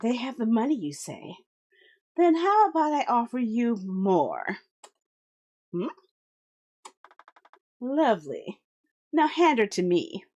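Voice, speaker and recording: A woman voicing a composed noblewoman who speaks softly and soothingly. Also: fairly high pitch, big pitch swings, slow pace, pauses often, muffled recording, exaggerated voice. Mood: commanding. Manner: soft, smooth, soothing. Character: composed noblewoman, calm and commanding.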